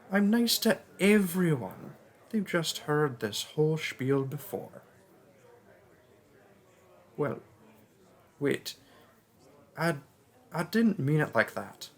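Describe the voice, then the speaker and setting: A man's voice: fairly high pitch, very animated, takes it slowly, vocal fry, long pauses, heavily acted. A man voicing a friendly, chatty young mage student in a roleplay audio drama, speaking casually and a little hesitantly, straight to the listener. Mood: reassuring. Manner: casual, rambling, hesitant, jokey.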